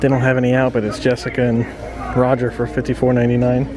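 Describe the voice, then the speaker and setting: A man's voice: mid-range voice, monotone, quick pace, a crowd in the background. Male shopping vlogger, casual and unscripted, calling out prices as he browses in a retail store. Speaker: shopping vlog host. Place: inside a busy retail store. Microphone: handheld camera, off screen.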